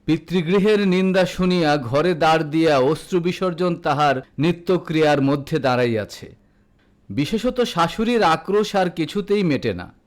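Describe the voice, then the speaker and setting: A man narrating a literary story from a script, with a formal, measured storytelling delivery. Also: a little high, animated. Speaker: audiobook narrator.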